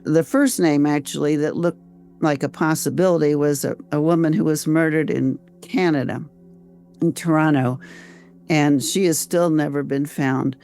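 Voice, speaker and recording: An older woman with an American accent, telling her own story conversationally as an interview guest, reflective and halting, repeating phrases as she goes. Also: deep voice, fairly even intonation, warm tone, faint music behind. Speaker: interview guest, author and volunteer researcher.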